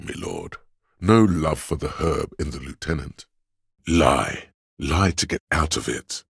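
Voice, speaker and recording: Game role: grandiose, scheming courtly inner voice, extremely deep and husky, archaic and deliberate delivery. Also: male voice, no room sound, studio quality, exaggerated voice. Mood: grandiose, dramatic. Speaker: video game voice actor. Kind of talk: theatrical second-person game narration.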